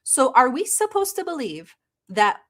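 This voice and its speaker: A woman's voice: sits high, very animated, a little slow. A woman born in Turkey, Turkish her first language, chatting casually on a live stream, laughing often and reading chat aloud. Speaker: reaction streamer.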